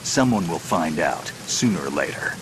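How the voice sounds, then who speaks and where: An actor playing a gruff, terse veteran male infiltration soldier in a video game cutscene. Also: extremely low pitch, lively intonation, normal speed. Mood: laconic. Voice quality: gravelly, terse. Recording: game audio with rain noise behind.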